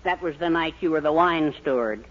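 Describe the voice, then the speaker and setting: A man's voice: a little high, a little fast, close to an everyday voice. An American man playing a sheepish, worried middle-aged husband and lodge member in 1940s radio comedy style, meek and apologetic. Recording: muffled, clearly noisy 1940s radio recording.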